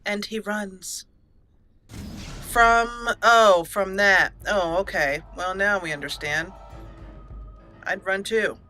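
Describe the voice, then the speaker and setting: A woman's voice: mid-range voice, takes it slowly, pauses often, pushed and bright, soft background music. A woman hosting a let's play, chatting playfully through her gameplay, laughing often and reading game text aloud. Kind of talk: gameplay commentary.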